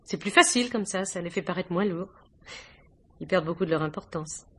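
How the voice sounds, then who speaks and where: A woman's voice: fairly low pitch, fairly quick, sharp tone, breathy edge, full character voice. A woman playing a gracious, chatty, devoted young wife and hostess in a radio mystery, polite and confiding. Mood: tender, content. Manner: polite, talkative, romantic, confiding. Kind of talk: 1950s French radio mystery acting.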